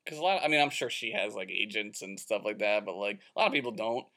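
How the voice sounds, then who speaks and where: A man's voice: lowish voice, fast talker, gravelly, bright, forceful tone. A young man co-hosting casual chat, cutting in with dry, teasing remarks. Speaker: co-host.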